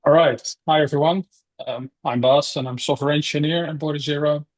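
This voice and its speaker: A man from the Netherlands whose first language is Dutch, a software engineer speaking in a matter-of-fact way on a call. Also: a little high, steady tone, brisk, poor audio. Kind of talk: casual chat on video call.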